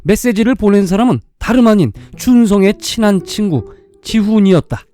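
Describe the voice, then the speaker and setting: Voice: mid-range voice, expressive, normal speed, mellow. A storyteller reading a dramatic science-fiction tale in a scripted narrating style. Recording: music under the voice. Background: background music.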